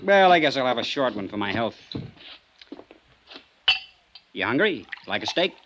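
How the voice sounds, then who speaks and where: A man's voice: medium pitch, very animated, quick pace, a bit bright, slight background noise. A man acting a cagey, slangy small-town roadhouse owner in an old-time radio detective drama, flippant and streetwise. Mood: wary, breezy. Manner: jive-talking, flippant, streetwise. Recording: vintage radio recording with music.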